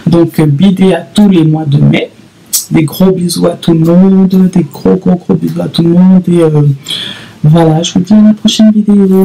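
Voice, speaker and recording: Brief: woman, 30s, gravelly and mellow, hesitant casual vlogger, faint noise behind. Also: mid-range voice, low-quality recording. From Congo (DRC). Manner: hesitant, many fillers and repeats. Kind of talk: casual solo vlog to camera.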